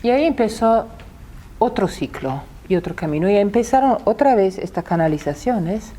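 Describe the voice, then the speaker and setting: A woman's voice: mid-range voice, animated, moderate pace, warm tone. A woman in her sixties, a native Italian speaker with an Italian accent, giving a public talk and often repeating words as she searches for them. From Italy.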